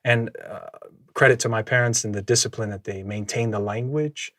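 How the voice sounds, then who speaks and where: A man whose first language is Samoan, telling his story as a podcast guest in a reflective, measured way, often laughing. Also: mid-range voice, fairly even intonation, a bit bright, no room sound, clean studio sound. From Minnesota, USA. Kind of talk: casual conversational storytelling.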